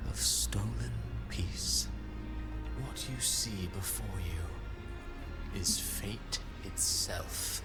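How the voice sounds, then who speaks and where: Low, slow, ominous herald of fate. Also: male voice. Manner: slow, portentous, partly whispered. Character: ominous, solemn male herald of fate, grandly prophetic. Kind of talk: dramatic game narration. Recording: music behind the voice. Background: game music.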